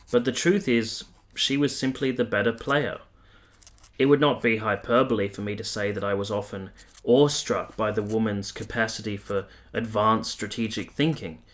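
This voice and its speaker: A man's voice: on the low side, close to an everyday voice. An actor playing a sober, disillusioned military man of the 1870s in a radio drama, reflective and formal in his wording. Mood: admiring, reflective. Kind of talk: period radio drama acting and narration.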